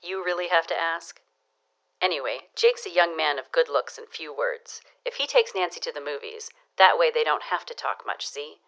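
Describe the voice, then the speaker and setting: A low-pitched female gossip in a period audio drama. She is arch and knowing, dishing local romance news with sly, teasing relish. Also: very animated, moderate pace, a bit bright, full character voice, low-quality recording. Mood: wry, amused.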